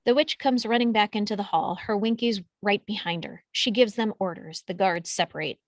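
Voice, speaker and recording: Woman narrator, medium pitch, scripted stage directions, close dry recording. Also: normal speed, no room sound.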